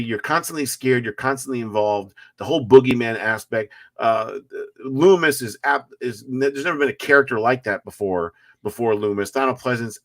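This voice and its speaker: A man in his forties, a guest filmmaker, talking movies with emphatic enthusiasm and quick restarts. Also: mid-range voice. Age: 40s. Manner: enthusiastic, emphatic, repeats words when excited. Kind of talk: casual live-stream chat.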